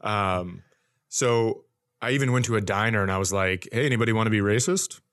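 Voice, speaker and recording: A man sharing comic anecdotes in casual podcast chat, with a halting, wry way of talking. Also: fairly low pitch, a bit bright. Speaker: comedian, podcast co-host.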